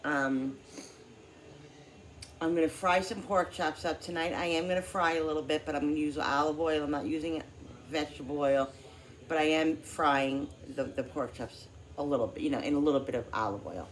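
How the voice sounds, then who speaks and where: Middle-aged female cooking vlogger, low voice, stuffy with a cold, halting chat over kitchen noise. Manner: rambling, halting, many fillers. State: congested with a cold. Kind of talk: casual chat while cooking. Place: home kitchen.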